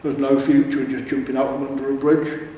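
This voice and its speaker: A man's voice: medium pitch, level tone, brisk, mellow, natural voice. A man voicing a glum troll in a storybook reading, rambling and complaining in a sorry, nostalgic way. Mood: grumbling. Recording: echoey reverberant room, distant, faint hiss.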